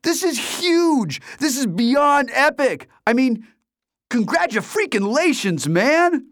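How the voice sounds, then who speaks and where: A man voicing an excitable young man, the narrator's loud, gushing best friend, shouting over the top with excitement. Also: extremely high pitch, slow, no room sound, studio quality, pitched above the performer's own voice, full character voice. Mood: excited. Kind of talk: audiobook character voice.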